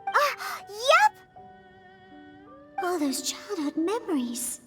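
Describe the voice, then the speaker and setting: A tiny, extremely high little girl's voice, cute and sassy as a video game cat girl. She speaks slowly and swoops expressively, with clear music behind her. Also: full character voice. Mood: amazed. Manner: childlike, expressive.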